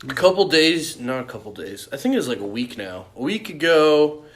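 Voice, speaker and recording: A man's voice: medium pitch, sing-song, normal speed, fairly bright tone. A man co-hosting a podcast in casual, profane, slangy banter about comics and movies. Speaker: podcast co-host.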